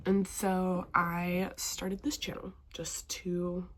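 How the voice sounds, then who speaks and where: A woman's voice: lowish voice, little pitch movement, slow, a little hiss or hum. Chatty young woman, stuffy with a cold. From northwestern Montana. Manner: chatty and enthusiastic. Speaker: BookTube vlogger. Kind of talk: casual talking-to-camera vlog.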